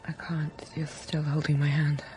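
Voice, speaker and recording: An actress playing an earnest, self-possessed young governess in a period radio drama, restrained and polite. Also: female voice, deep voice, flat delivery, normal speed, music in the background, natural voice.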